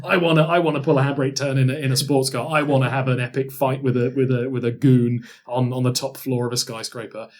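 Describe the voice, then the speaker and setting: A man's voice: medium pitch, fairly even intonation, quick pace, hardly any pauses. A man who co-hosts a podcast, looking back in casual, enthusiastic and emphatic conversation. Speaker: podcast co-host and role-play performer. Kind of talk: casual retrospective chat.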